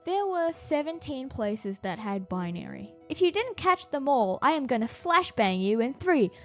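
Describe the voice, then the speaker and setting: A woman's voice: high-pitched, sing-song, moderate pace, soft background music. A woman narrating a video about her animation work in a casual, jokey, self-deprecating way. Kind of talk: casual scripted video commentary.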